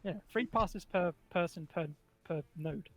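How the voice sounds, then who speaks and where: A man's voice: high voice, measured pace, crowd noise. A man in a casual voice chat who works through the numbers of a proposal step by step.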